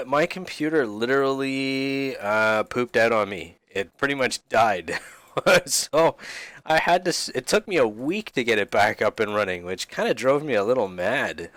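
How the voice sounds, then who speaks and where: A playful, rambling man talks through his gameplay with jokes and asides, sounding a bit under the weather and sniffly. His voice sits at an ordinary middle pitch and is recorded dry and close, with no room sound around it. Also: fairly bright tone, dry recording. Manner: goofy, rambling, jokey, affectionate toward viewers. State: slightly sick and sniffly. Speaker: YouTube host reviewing game resource packs. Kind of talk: casual gameplay commentary.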